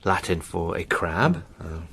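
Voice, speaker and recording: An actor playing a cheerful, adventurous gentleman in a radio drama, breezy and full of old-fashioned slang. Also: low voice, very animated, unhurried, breathy edge, full character voice. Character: adventurous upper-class British gentleman, cheerful.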